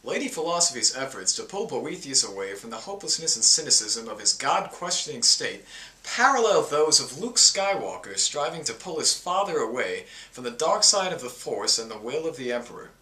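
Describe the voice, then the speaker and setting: A man's voice: mid-range voice, very animated, a little fast, pushed and bright. A man talking in a casual, amateur skit style for a homemade project video. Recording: echoey room, distant mic, noisy.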